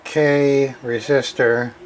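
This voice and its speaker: A man giving a casual, halting walkthrough of a radio repair, full of fillers and restarts. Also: medium pitch, level tone.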